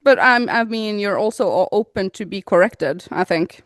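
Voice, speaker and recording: A bubbly young woman with a Norwegian accent, chatting and teasing her co-host on a podcast. Her voice sits at an ordinary middle pitch. The sound is close and dry, with no room around it. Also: animated, normal speed, no room sound. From Norway. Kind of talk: casual chat.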